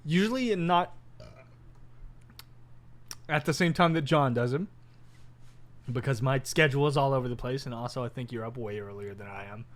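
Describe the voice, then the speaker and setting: A man's voice: mid-range voice, normal speed, long pauses. Laid-back young man who swears freely. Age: 20s. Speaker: guest rapper and streamer. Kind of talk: casual podcast chat.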